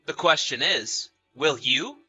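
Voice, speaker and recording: A man's voice: a little high, animated, slow, bright, forceful tone, breathy edge, soft background music, exaggerated voice. A man playing a betrayed husband in an acted audio drama, bitter, sarcastic and accusatory. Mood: coolly taunting. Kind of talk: acted audio story dialogue and narration.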